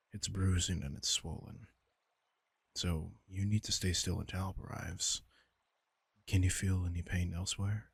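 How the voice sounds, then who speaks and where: A deep, very husky male vampire king, acted by a man, protective and worried over an injured companion. He talks slowly and tenderly in an intimate audio roleplay, close on the mic. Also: no echo, full character voice. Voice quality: gravelly, husky.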